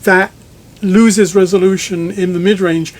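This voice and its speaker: A man with a noticeably high-pitched voice leads a technical interview. He talks conversationally, choosing his words thoughtfully and pausing mid-phrase as he builds each question. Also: steady tone. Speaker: magazine editor interviewing a speaker designer. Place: listening room in a home.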